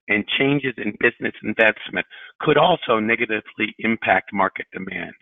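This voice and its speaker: A middle-aged male chief executive delivers prepared financial remarks in a formal, measured way. His voice has a gravelly creak and comes over a narrow, tinny phone line, dry with no room sound. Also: mid-range voice, dry recording. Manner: formal, measured, deliberate phrasing. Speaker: company president and CEO. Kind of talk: prepared corporate earnings presentation. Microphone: telephone on a conference call.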